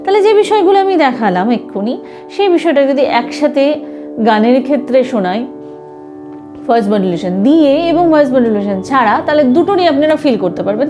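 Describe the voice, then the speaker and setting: A woman giving an instructional singing lesson to viewers, explaining points in a teacher's manner. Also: high voice. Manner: explanatory, with short hesitations and sung demonstrations. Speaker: vocal music teacher.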